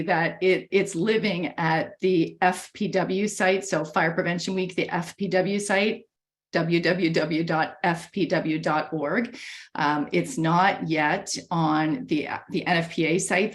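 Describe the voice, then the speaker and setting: A woman's voice: fairly low pitch, steady tone, measured pace. A woman losing her voice a bit, chatting through a video-call webinar presentation with lots of fillers and restarts. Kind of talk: casual presentation. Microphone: computer mic on a video call.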